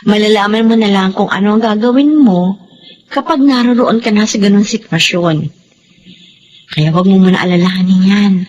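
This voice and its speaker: A woman's voice: medium pitch, normal speed, darkish tone, dull audio, close to an everyday voice. An actress playing a protective, frank female confidante who comforts and advises, in a Filipino radio drama with a Filipino accent. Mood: firm advising.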